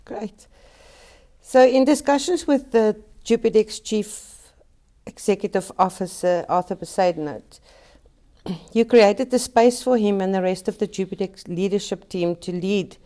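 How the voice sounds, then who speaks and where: A woman working in HR, calmly asking prepared interview questions. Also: medium pitch, measured pace. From South Africa. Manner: composed, reads prepared questions. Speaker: HR business partner, interviewer.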